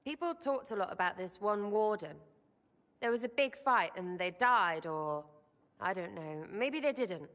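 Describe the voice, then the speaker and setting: A woman's voice: medium pitch, expressive, unhurried, a bit bright. An actress playing a cheeky, impulsive young woman rogue in a video game, talking in slangy, irreverent, blurted fragments. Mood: blunt, chatty.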